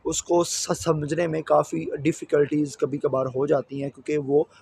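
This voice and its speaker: A man's voice: on the high side, fairly even intonation, normal speed, creaky, darkish tone, husky. A young man from Pakistan with a Pakistani Punjabi accent, giving casual, reassuring advice to viewers in a vlog recorded outdoors on a residential street. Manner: repetitive, reassuring, brotherly address to viewers. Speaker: vlogger.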